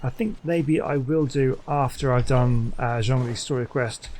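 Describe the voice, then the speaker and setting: A man's voice: mid-range voice, unhurried, a little mellow, a little hiss or hum. A man giving casual, musing gameplay commentary as the host of a gaming video. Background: video game sound.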